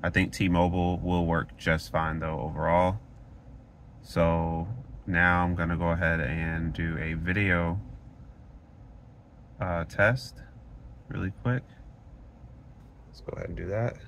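A man's voice: deep voice, level tone, takes it slowly, vocal fry, pauses often, fairly bright tone, a little hiss or hum. A man sitting in a parked car, recording with a handheld phone, casually talking through network speed tests and reading results off the screen. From Florida, United States. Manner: plain and explanatory, reads numbers off the screen. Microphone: handheld phone camera.

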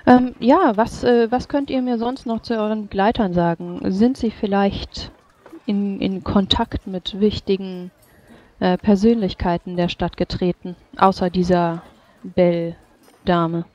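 A woman's voice: mid-range voice, fairly even intonation, measured pace, darkish tone. A woman running a tabletop roleplay as game master, speaking politely, formally and with some hesitation. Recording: dull lo-fi microphone.

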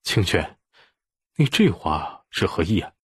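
A man performs a bewildered royal man in an audiobook. He questions and exclaims with wide swings of pitch in a fairly low voice. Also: very animated, measured pace, husky, no room sound, studio quality, exaggerated voice. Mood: puzzled, doubtful. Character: puzzled, doubtful royal man questioning his ruler.

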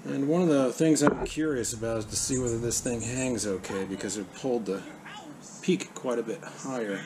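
A man's voice: medium pitch, normal speed, crowd noise. A middle-aged man casually narrating his boat build, matter-of-fact and thinking aloud as he works in a cluttered home workshop. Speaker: woodworker vlog host.

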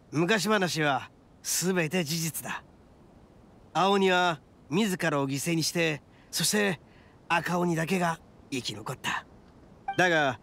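A man playing a brash, big-hearted young oni gang boss in a Japanese anime game, with rough-edged, blunt street-tough slang. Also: fairly high pitch, a bit bright, a little hiss or hum. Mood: solemn.

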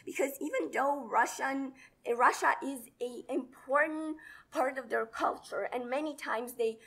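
A young woman from Romania, delivering a rapid, combative debate speech full of rhetorical questions in a large hall, heard from a far camera. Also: high voice, creaky, fairly bright tone. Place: large indoor conference hall.

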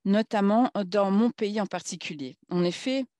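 A woman speaking at a conference in a formal political talk, halting and searching for words as she goes. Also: mid-range voice, mellow, no echo.

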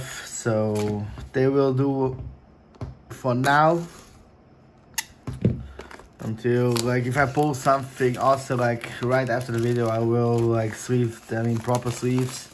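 A young man whose first language is Portuguese talks casually at a slow, easy pace, filling his sentences with filler words. He records at a desk mic in his home gaming room. Faint wrapper crinkling sits underneath his voice. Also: medium pitch, a little hiss or hum. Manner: chatty, lots of filler words, excitable outbursts. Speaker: card opening vlogger. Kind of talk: casual unboxing chat.

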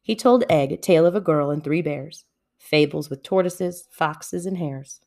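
A woman reading a children's story aloud with expressive narration. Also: deep voice, normal speed. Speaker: storybook reader.

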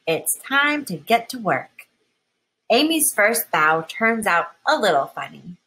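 Female children's storybook reader, unhurried and expressive, with a crisp bright tone and slightly husky edge. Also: medium pitch. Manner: expressive, deliberate, with emphasized key words. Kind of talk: children's picture book read-aloud.

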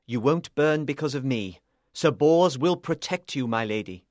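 A man's voice: mid-range voice, expressive, moderate pace, darkish tone, no room sound, clean studio sound, natural voice. A man playing a proud, brave knight devoted to his queen, firm and earnest, in a storybook reading. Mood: urgent, reassuring.

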